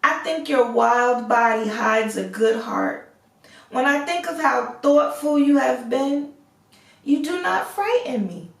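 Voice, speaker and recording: Woman doing kind brave storybook girl, earnest and polite, slow, a bit far off in an echoey room. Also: high voice, vocal fry, voice pushed up, heavily acted. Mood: earnest, reassuring. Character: brave, kind, honest young daughter, fairy-tale heroine. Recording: roomy echo, distant microphone.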